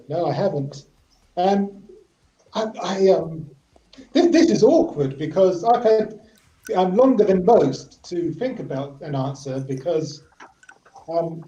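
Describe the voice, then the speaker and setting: A man's voice: fairly high pitch, mellow, distant mic, lo-fi sound. Posh-sounding southern English man, echoey room. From south-east England. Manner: hesitant, self-deprecating. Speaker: guest. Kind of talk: casual chat.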